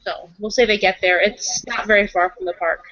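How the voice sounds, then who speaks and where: Halting woman in improvised roleplay, dull recording. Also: medium pitch, steady tone, fairly bright tone, no echo. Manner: halting, thinking aloud, repeats phrases. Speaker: tabletop roleplaying player and game runner. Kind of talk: improvised in-character roleplay conversation.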